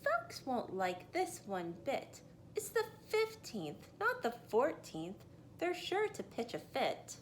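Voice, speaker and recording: A woman's voice: sits high, huge pitch swings, slow pace, a little hiss or hum, voice pushed up, heavily acted. A woman voicing a sweet, earnest young girl who worries she has let everyone down, in a storybook read-aloud. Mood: worried.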